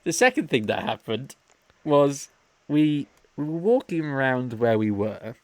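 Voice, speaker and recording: A man's voice: medium pitch, sing-song, measured pace, dry recording. A young man co-hosting a podcast, telling rambling holiday stories and laughing along as he talks. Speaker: podcast co-host. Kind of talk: casual storytelling chat.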